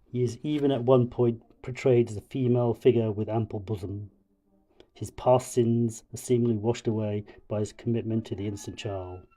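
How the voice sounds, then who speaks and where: A man's voice: lowish voice, warm tone, lightly acted, low-quality recording. Man putting on a pompous mock-intellectual oaf with a cold. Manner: pompous, mock-intellectual, halting over long words. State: has a cold. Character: booming pompous film-critic oaf with a shouted catchphrase. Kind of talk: mock-scholarly film review.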